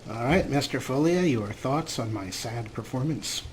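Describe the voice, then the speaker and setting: A man's voice: medium pitch, expressive, moderate pace, darkish tone, slight background noise, rough recording. A man giving casual, self-deprecating gameplay commentary as a let's play host.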